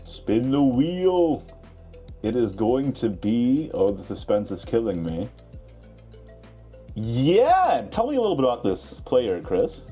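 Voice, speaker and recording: A man co-hosting a live esports bracket draw, playful and quick to laugh as he builds suspense. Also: medium pitch, huge pitch swings, dark tone, soft background music. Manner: playful, laughs often, teasing. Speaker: esports co-host.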